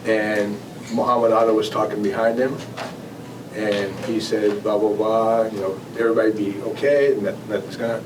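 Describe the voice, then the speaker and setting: A man giving an informal talk as an air traffic control manager, conversational and halting, often repeating and rephrasing his words. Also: on the low side, fairly even intonation. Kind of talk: informal presentation with audience questions. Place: club meeting room. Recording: distant mic, noisy meeting room.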